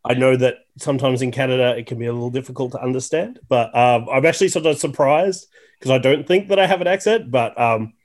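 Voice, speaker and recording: A man in his thirties from Tasmania with an Australian accent, a podcast guest chatting casually and self-deprecatingly with plenty of fillers. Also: mid-range voice. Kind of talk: casual chat.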